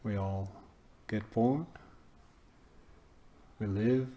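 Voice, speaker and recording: Guy doing guided meditation, lowish voice, really slow, lots of pauses between bits. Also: dark tone. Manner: halting, pausing between short phrases. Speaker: meditation teacher.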